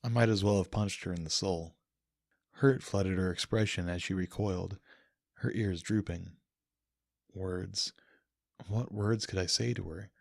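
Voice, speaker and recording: Gravelly male audiobook narrator. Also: low-pitched, steady tone, a little slow, pauses often, darkish tone, dry recording, clean studio sound. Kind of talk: scripted first-person fiction narration.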